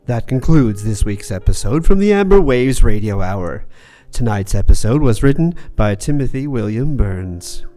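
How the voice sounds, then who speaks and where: Mellow, animated male radio announcer. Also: medium pitch, normal speed, few pauses, faint music behind. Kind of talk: scripted radio show sign-off.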